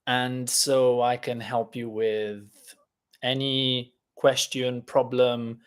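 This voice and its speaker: A man who is a native Italian speaker, hosting a casual live-stream lesson, talking in a chatty, halting way with many fillers. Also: medium pitch, flat delivery, clear, resonant tone.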